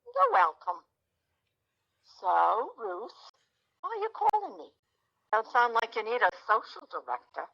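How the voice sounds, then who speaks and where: An actress playing an older, brassy best friend in an audio comedy, sardonic, blunt and teasing. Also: female voice, a little high, huge pitch swings, unhurried, gravelly, pauses often, fairly bright tone, highs cut off, exaggerated voice. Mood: wry, blunt. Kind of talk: audio theatre comedy acting.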